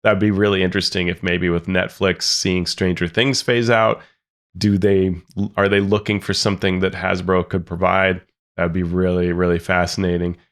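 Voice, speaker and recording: Low-voiced podcast guy thinking out loud, lots of ums and restarts. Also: flat delivery, moderate pace, clear, resonant tone. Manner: thinks aloud with many fillers and restarts. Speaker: podcast co-host. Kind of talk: casual chat, giving opinions.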